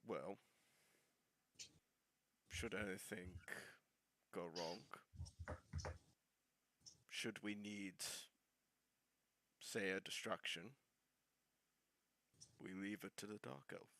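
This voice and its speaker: A man's voice: low voice, vocal fry, dark tone. A man whispering in character as a cold, scheming schemer in a tabletop game. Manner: whispering, slow and conspiratorial.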